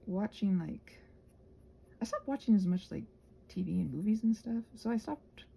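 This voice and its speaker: A soft-spoken woman in a casual, rambling chat while she crafts, meandering and interrupting herself as she murmurs along. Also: medium pitch, expressive, moderate pace, creaky, husky. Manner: meandering, digressive, self-interrupting. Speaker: craft vlogger and audio creator.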